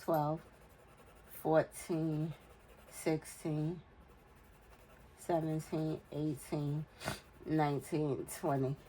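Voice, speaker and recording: A woman in her forties speaks slowly in a low voice, nasal and stuffed up from a cold. She thinks aloud and counts under her breath as she goes. A faint hum sits behind her at home. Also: level tone, warm tone, low-quality recording. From United States. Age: 40s. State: congested from a cold. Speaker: budgeting vlogger. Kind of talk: casual talk while filling budget challenges.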